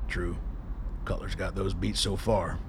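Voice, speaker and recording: An actor playing a blunt, well-read army colonel who swears freely and makes wry remarks. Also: male voice, low voice, little pitch movement, unhurried, a little hiss or hum. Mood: dry.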